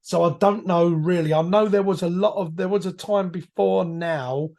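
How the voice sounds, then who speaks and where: A man, hoarse from a cold, a guest reminiscing about his years as a firefighter in rambling, self-correcting talk. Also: sits high, normal speed, a little mellow. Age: 50s. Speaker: podcast guest, retired firefighter. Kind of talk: reflective conversational storytelling.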